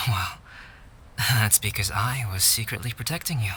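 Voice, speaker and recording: An actor playing a calm, analytical young man in a video game cutscene, speaking in a measured, articulate and formal way. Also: lowish voice, background noise, natural voice.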